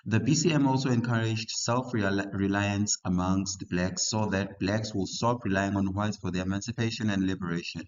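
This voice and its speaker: A young man with a very low voice walks learners through a history essay in a relaxed, halting way, full of fillers and restarts. The recording is muffled and lo-fi. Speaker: history tutor. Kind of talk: casual study lesson for learners.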